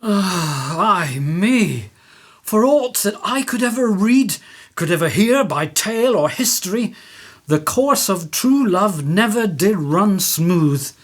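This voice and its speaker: A woman's voice: medium pitch, animated, takes it slowly. A woman playing an ardent, proud young male lover, declaiming verse in a Shakespearean radio play. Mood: rueful, poetic. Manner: declamatory, poetic verse delivery.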